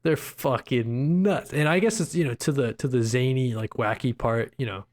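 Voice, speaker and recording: A man with a medium-pitched voice co-hosts a podcast. His talk about video games is casual and slangy, full of bro-style phrasing and loose swearing. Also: moderate pace, darkish tone. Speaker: podcast co-host.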